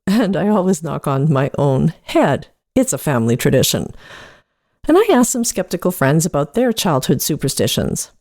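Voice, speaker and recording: A woman's voice: sits low, big pitch swings, normal speed, darkish tone, no echo, clean studio sound. A woman presenting a podcast segment as scripted storytelling.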